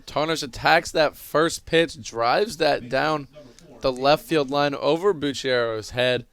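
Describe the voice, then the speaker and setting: A man's voice: fairly high pitch, takes it slowly, a bit bright, no echo. A man giving analytical, explanatory color commentary on a live baseball broadcast.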